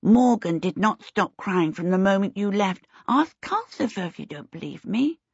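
A woman voicing a coy little boy in a storybook, with a sweet, soft lisp and an earnest, wheedling way. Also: mid-range voice, big pitch swings, husky, dry recording, lo-fi sound. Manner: coy, drawn-out, devout politeness.

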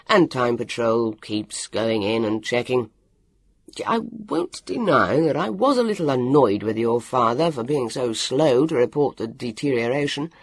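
A narrator voicing a brisk, reassuring man of the Time Patrol in an audiobook, with a hearty, breezily official manner. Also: mid-range voice, vocal fry, no room sound, heavily acted.

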